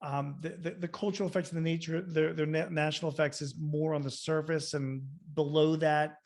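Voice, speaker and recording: Male podcast host, voice a little higher than average, conversational, halting as he works through questions. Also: level tone, darkish tone. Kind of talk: conversational interview.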